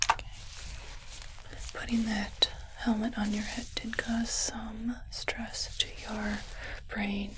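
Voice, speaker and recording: A woman playing a calm, clinical but kindly alien medic in a whispered ASMR roleplay, hesitant and softly reassuring. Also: fairly high pitch, slow, pauses often, noisy background. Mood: gentle, clinical. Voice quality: breathy unvoiced whisper.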